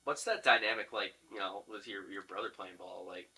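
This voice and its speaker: Male interviewer, very bright and punchy tone, casual and chatty, rambles through his questions. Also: deep voice, fairly even intonation, a little fast, low-quality recording. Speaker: podcast host interviewing players. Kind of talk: casual sports interview chat.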